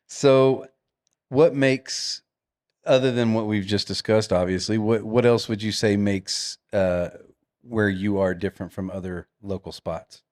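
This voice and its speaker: A man's voice: fairly low pitch, unhurried, darkish tone. A man hosting a podcast, laid-back, asking questions and chuckling along. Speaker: podcast host. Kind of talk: casual podcast chat.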